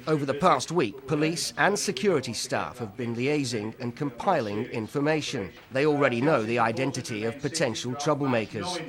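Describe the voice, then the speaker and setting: A man narrating a documentary in a measured, even scripted delivery. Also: mid-range voice.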